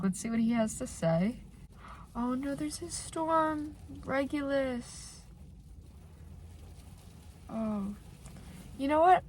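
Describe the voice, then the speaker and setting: Female vlogger, stuffy nasal cold voice, excitable fan chatter, mid pitch, recorded in a car. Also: a little hiss or hum. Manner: gushing and excitable, many filler "like"s. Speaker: channel host reacting to fan fiction. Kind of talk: casual reaction vlog. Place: inside a parked car.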